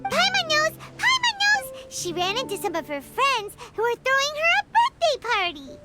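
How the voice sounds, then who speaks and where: A woman voicing a tiny, excitable fairy companion who talks about herself by name, over game music. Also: extremely high pitch, moderate pace, heavily acted. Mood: excited. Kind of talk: game voice acting. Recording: music behind the voice.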